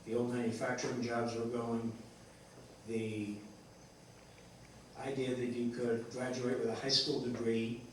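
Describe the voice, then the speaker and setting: A distant, strongly reverberant recording of a man with a faint noise behind him. He talks as a writer explaining his work, rambling and halting, often restarting as he searches for words. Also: medium pitch, flat delivery. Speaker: playwright discussing his play. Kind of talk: rambling post-show discussion. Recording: echoey room, distant mic, faint noise.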